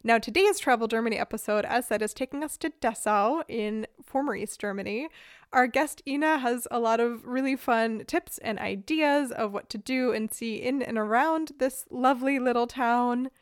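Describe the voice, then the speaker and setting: Higher-voiced woman hosting a chatty podcast. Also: moderate pace, smooth, no room sound, clean studio sound. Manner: enthusiastic, chatty. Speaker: podcast host.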